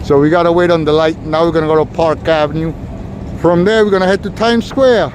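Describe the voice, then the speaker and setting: A man's voice: fairly high pitch, steady tone, a little fast. Chatty New man on a street. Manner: chatty, rambling, talks to viewers. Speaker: walking tour vlogger. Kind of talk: casual livestream walking tour. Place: outdoors on a busy city street. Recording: noisy street recording. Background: street traffic noise.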